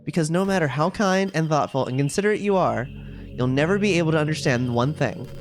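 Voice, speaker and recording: Visual novel schoolgirl, read by a man: cutesy, confiding, clingy, very animated delivery over game music. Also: a little high, brisk. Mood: lonely pleading. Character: sweet, possessive, self-aware video game schoolgirl. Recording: music playing behind the voice.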